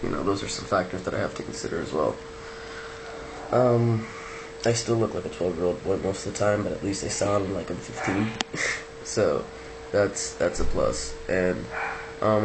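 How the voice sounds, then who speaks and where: A man talking casually to his camera in a relaxed, rambling way with many ums, sounding like he just woke up. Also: low voice. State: just woke up, low-key. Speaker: vlogger. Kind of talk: casual video diary. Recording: noisy background hiss.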